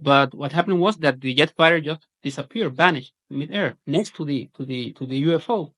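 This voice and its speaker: Higher-pitched Puerto Rican man recounting incidents. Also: brisk, a bit bright, no echo. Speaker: UFO researcher giving a presentation.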